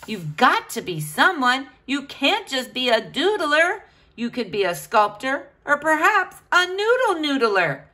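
A woman reads a children's picture book aloud in a fairly high voice. Her pitch swings very widely in a sing-song, questioning way, as if speaking directly to young listeners. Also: very animated, measured pace, pushed and bright.

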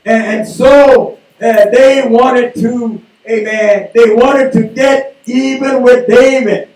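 A man preaching a sermon from a church pulpit, slowly working through his points and dropping "amen" after almost every phrase. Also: very high-pitched, steady tone, a little mellow. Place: small church sanctuary, indoors. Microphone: pulpit mic, filmed by a far camera. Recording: echoey room from far off.

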